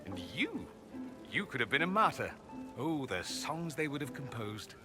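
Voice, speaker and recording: A man's voice: mid-range voice, very animated, normal speed, music in the background, lightly acted. A male actor playing a witty, theatrical, aristocratic mage in a video game, speaking with wry, eloquent irony. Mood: wry relief.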